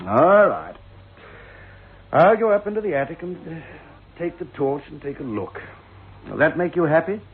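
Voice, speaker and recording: An actor playing a stubborn, sceptical husband in a radio drama, brusque and exasperated. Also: male voice, a little high, sing-song, measured pace, pauses often, husky, heavily acted. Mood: sleepy, dismissive. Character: stubborn sceptical husband, a writer of ghost stories. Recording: lo-fi phone-like old radio, hissy.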